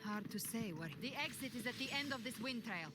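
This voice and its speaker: An actress voicing a capable young woman ally in a game, speaking in a brisk, commanding way. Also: on the high side, lively intonation, lightly acted. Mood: urgent. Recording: game audio with music behind.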